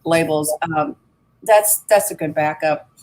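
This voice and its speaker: A woman talking on a video call in an easygoing way, repeating words while she gathers her thoughts. Her voice sits a little low for a woman. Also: measured pace, darkish tone, a little hiss or hum, poor audio. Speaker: reseller guest. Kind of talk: casual chat on a live video hangout.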